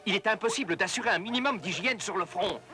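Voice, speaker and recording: A man voicing an earnest, thoughtful doctor and researcher in an educational cartoon, speaking in a measured, explanatory way. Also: sits high, lightly acted. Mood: grave, concerned. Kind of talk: French-dubbed educational cartoon acting. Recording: music under the voice.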